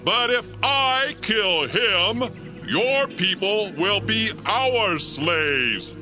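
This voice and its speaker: An actor playing a boastful, menacing giant warrior who shouts slow taunts, in a dramatized audiobook. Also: male voice, sits high, expressive, soft background music, heavily acted. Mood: booming defiance.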